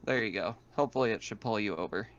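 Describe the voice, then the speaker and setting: A man's voice: fairly low pitch, fast, a bit bright, slight background noise. Male game master, casual and hesitant, slightly muffled voice-chat sound. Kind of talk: narrating an online tabletop game. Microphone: online voice call. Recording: dull lo-fi voice chat.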